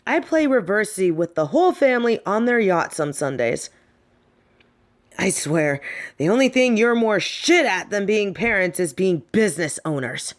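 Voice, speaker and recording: A woman's voice: medium pitch, very animated, normal speed, natural voice. A young woman acting in a scripted audio roleplay as a defiant, fed-up adult daughter of a snobby rich family, with a sardonic, emphatic delivery. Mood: exasperated, mocking.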